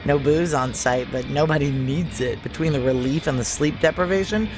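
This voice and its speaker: A woman's voice: low voice, moderate pace, over music, close to an everyday voice, rough recording. An actress playing a tough, profane female security chief, narrating and acting in a noir audio drama in a wry, blunt, conversational way. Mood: wry, intimate.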